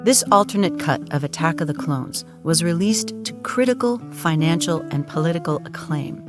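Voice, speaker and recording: Woman doing dramatic doc style narration, deep for a woman, creaky, serious and measured, music under it. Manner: solemn, measured, documentary-like delivery. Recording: clear music behind.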